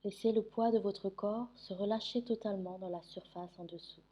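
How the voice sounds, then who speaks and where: Female meditation guide, dark soft-edged timbre, mid pitch, soothing and unhurried with long pauses. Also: steady tone. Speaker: yoga nidra meditation guide.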